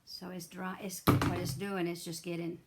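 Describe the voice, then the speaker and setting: A hoarse middle-aged woman, chatting her way through a craft tutorial with many fillers and restarts. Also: lowish voice, level tone, normal speed, heavy background noise. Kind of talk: casual step-by-step craft demonstration.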